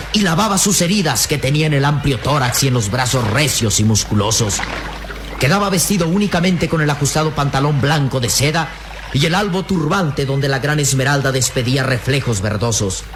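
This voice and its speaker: An actor playing a solemn adventure storyteller, narrating a radio drama emphatically. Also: male voice, medium pitch, fairly bright tone, slight background noise, lightly acted. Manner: grave, emphatic, florid storytelling delivery.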